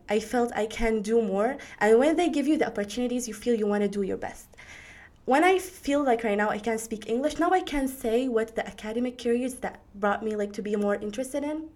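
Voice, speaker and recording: Teen girl, Arabic accent, non-native speaker, hesitant, pauses to find words. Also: on the high side, darkish tone. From Syria. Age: teens. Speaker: graduating student guest. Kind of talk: casual interview answers.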